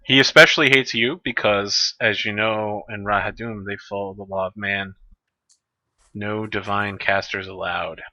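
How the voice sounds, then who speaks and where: A man's voice: fairly low pitch, fairly even intonation, measured pace, pushed and bright, dry recording, highs cut off. A man running a tabletop game as game master, matter-of-fact with dry humor.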